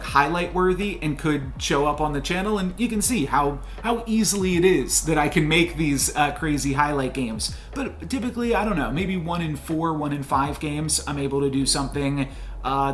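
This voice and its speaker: A man's voice: a little high, a bit bright. A young man hosting a gaming channel, talking off the cuff in a chatty, rambling way with fillers and restarts. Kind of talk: off-the-cuff game commentary outro. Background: faint background music.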